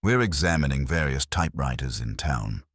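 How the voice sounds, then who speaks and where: A man's voice: a bass voice, expressive, moderate pace, fairly bright tone, no echo, clean studio sound, exaggerated voice. A man voicing a courteous, methodical police inspector in a mystery story, considerate and casually probing. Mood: polite probing.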